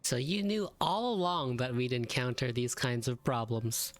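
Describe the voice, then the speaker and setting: A woman's voice: a bass voice, normal speed. A woman streamer giving casual live reaction commentary on a video game.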